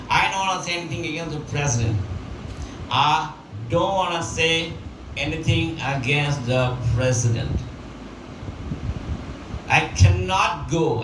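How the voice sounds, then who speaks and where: A man teaching prepositions, repeating example sentences and using many fillers. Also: fairly high pitch. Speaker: English teacher. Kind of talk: spoken English lesson. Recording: echoey room, mic at a distance, background noise.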